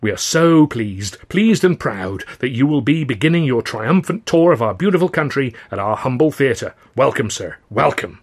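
A man voicing a pompous, fawning theatre manager in an audiobook, effusive and florid with flattery. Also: medium pitch, normal speed, few pauses, husky, voice pushed up. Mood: oily, fawning. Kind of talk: audiobook character voice.

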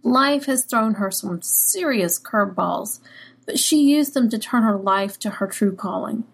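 A woman's voice: mid-range voice, animated, measured pace, creaky, slightly rough. A woman co-hosting a writing podcast, introducing a guest and interviewing her with enthusiasm. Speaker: podcast co-host. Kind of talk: warm scripted intro then interview chat.

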